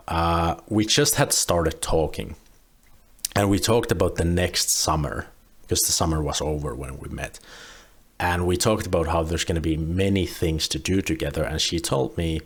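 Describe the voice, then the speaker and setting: A young man, relaxed and rambling, commenting on a video clip he is reacting to. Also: deep voice, breathy edge. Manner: relaxed, rambling with frequent restarts.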